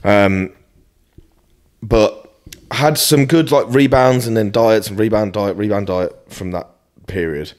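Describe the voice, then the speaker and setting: A British man in his early thirties with a low-pitched voice talks casually, as a guest in conversation. He is blunt and self-deprecating, and swears freely as he goes. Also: takes it slowly, darkish tone. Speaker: podcast guest, fitness coach. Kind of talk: casual chat.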